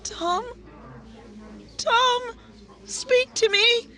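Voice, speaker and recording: A woman's voice: very high voice, sharp tone, exaggerated voice. A woman playing a young bride trapped alive, pleading in anguish in a 1930s-style radio horror drama. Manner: slow, anguished, tearful pleading.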